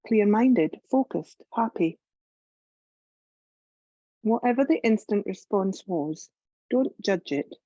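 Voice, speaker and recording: A woman leading a guided meditation as a yoga teacher, calm and measured, giving gentle instructions with long pauses. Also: mid-range voice, no room sound, lo-fi sound.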